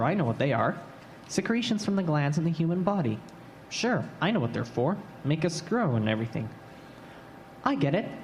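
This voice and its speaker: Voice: medium pitch, a little mellow, lightly acted. A performer playing a weary, confused, obedient boy assistant in an old-time radio drama, plaintive and full of questions. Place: stage before a live audience. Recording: live stage recording, faint noise.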